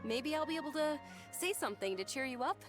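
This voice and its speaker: A woman voicing a gentle, thoughtful young noblewoman in a game, soft-spoken and earnest. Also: high-pitched, expressive, fairly quick, over music. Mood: calm. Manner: soft-spoken and earnest, with hushed breathy moments.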